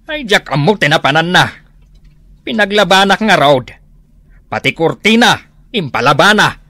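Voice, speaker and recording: Male actor as older henpecked father, Ilocano accent, comedy radio drama, very animated, dry close sound, aggrieved. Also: high voice, moderate pace, dry recording, exaggerated voice. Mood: grumbling, aggrieved.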